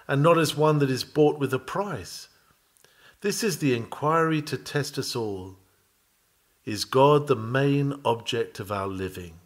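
A man reading an old Victorian sermon aloud in an earnest, measured, preacherly manner. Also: fairly high pitch, a bit bright. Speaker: sermon reader.

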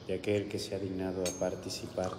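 Man, Argentine accent, priest, very low voice, solemn measured prayer, long hall echo. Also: completely flat delivery. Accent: Argentine Spanish. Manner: solemn liturgical recitation, slow and measured in prayers. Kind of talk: homily and celebrating Mass. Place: small chapel with altar, indoors. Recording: roomy hall echo with background hiss.

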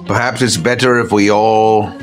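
A man's voice: lowish voice, fairly even intonation, normal speed, faint music behind, natural voice. A man voicing a sarcastic, theatrical vampire courtier in a tabletop game, with an exaggeratedly gallant way of talking. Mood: sly.

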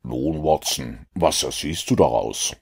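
Male reader as storybook detective, calm, superior, husky, bottomless low pitch, condescending. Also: exaggerated voice. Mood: probing, calm. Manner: measured, dryly condescending.

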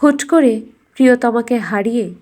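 Female storyteller for scripted fairy tale narration, fairly high-pitched voice. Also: normal speed, mellow.

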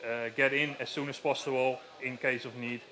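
A man's voice: mid-range voice, flat delivery, moderate pace, pushed and bright, rough recording. A man in his thirties with a Dutch accent, giving a live stage demonstration in a marquee on a headset mic, explaining plainly with many fillers. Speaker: safety equipment rep. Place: large marquee at outdoor show.

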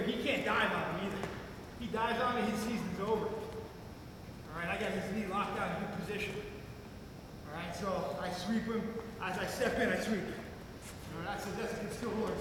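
A man's voice: sits high, background noise. A man coaching at a wrestling clinic, who explains each move step by step and keeps saying "all right.". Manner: instructive, repeats "all right" often. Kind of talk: clinic demonstration. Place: large gym arena with wrestling mat. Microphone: far camera. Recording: echoey arena from far off.

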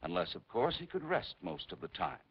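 A middle-aged man presenting a 1950s scripted narration in a measured, formal, dramatic manner. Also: low-pitched, sing-song, breathy edge. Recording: dull vintage 1950s film soundtrack, faint hiss.

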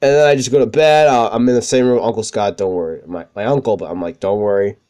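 A young man with a high-pitched voice, swearing freely as he tells rambling stories over gameplay. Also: fast talker, warm tone. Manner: profane, rambling, self-deprecating. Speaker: let's play commentator. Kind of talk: casual gameplay commentary with storytelling.

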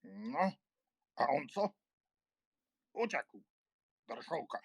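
An actor playing a blustering, cynical, hot-tempered major in an audiobook drama, gruff and scornful in manner. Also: male voice, mid-range voice, very animated, slow pace, no room sound, clean studio sound, full character voice. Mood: gruff, impatient.

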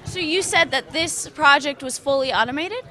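A woman's voice: high voice, moderate pace, pushed and bright. A woman in her twenties working as a student interviewer, asking prepared questions into a handheld microphone. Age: 20s. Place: large glass-walled university hall or lobby. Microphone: handheld interview microphone at arm's length.

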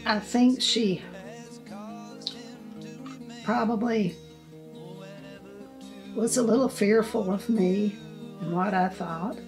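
An older woman in a documentary interview, reflecting slowly and gently on family memories. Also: medium pitch, steady tone, soft background music. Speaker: interviewee, mother-in-law. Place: indoors in leather armchair.

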